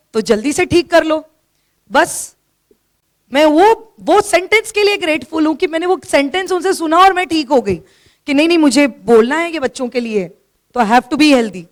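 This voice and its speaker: A woman's voice: sits high, animated, normal speed. A middle-aged woman teacher with a sore throat, giving a firm classroom lecture from slides in a hall. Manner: firm, scolds listeners for talking. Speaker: teacher giving a talk to students. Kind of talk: classroom lecture with slides, mixing Hindi and English.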